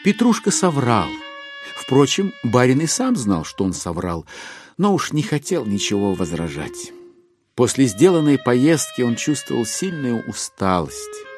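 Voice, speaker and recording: A man's voice: mid-range voice, big pitch swings, unhurried, soft background music. A man acting as the wry narrator of a classic novel in a dramatised audiobook. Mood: wry, storytelling.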